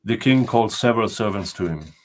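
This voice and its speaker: An older man narrating a storybook tale aloud in a deliberate, dramatic way. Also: lowish voice, lively intonation. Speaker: storyteller reading folktales.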